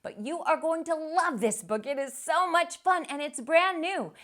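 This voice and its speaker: A middle-aged woman reading a children's picture book aloud with expressive storytelling. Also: high-pitched, moderate pace. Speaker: children's book reader, channel host.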